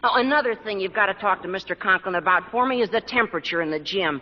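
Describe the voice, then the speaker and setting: An actor playing an earnest teenage schoolboy in an old radio sitcom, squeaky and over-elaborate, stammering as he goes. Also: fast talker, natural voice. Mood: earnest complaining. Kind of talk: 1940s-50s radio sitcom acting. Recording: muffled old radio recording with studio audience.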